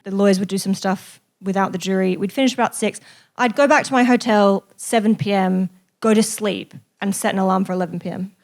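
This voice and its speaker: A woman's voice: mid-range voice, fairly even intonation, brisk. Chatty woman telling stories. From raised in Australia. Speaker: guest journalist and author. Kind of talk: casual spoken anecdote to an audience.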